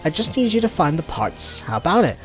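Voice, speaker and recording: A man's voice: a little high, big pitch swings, fairly quick, faint music behind. A man voicing a flustered, fretful villager. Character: flustered, embarrassed handy villager with a broken door.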